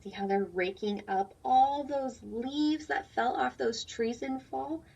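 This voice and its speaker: A woman's voice: on the high side, takes it slowly, gravelly, a little mellow, slightly rough. A woman teacher doing a read-aloud lesson for young children, explaining things simply and putting questions to her listeners. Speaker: teacher reading a picture book aloud to children. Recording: dull, lo-fi sound, distant from mic.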